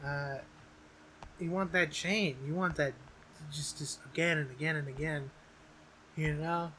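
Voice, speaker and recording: A young man with a bright, fairly high voice banters as a podcast co-host. He talks loosely in slang and swears often, with faint noise behind him. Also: fairly even intonation, unhurried, poor audio. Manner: profane, slangy. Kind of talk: casual chat, banter.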